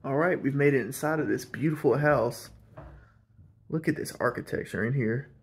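A man's voice: medium pitch, normal speed, vocal fry, husky. Chatty man touring a house. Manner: enthusiastic, chatty tour guide. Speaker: urban explorer host. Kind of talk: casual walkthrough narration. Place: inside an empty old house.

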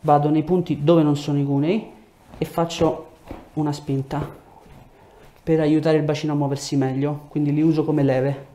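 A man's voice: a little high, little pitch movement, normal speed, warm tone. A man, working as a chiropractor, giving a patient short instructions, check-in questions and hands-on explanations. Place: small treatment room, indoors. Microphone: lapel mic. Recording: echoey room with background noise.

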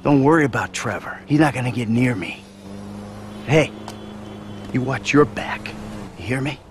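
An actor playing a weary, sarcastic middle-aged American career criminal in a cinematic video game. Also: male voice, mid-range voice, normal speed, slight background noise, lightly acted. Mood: weary, earnest.

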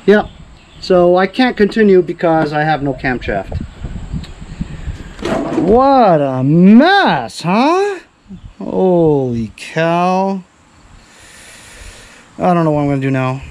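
Casting: male DIY repair vlogger, slightly high voice, informal rambling delivery, faint garage background noise. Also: sing-song, slow pace, pauses often, darkish tone. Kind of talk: casual chat while showing repair work. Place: cluttered home garage with open door.

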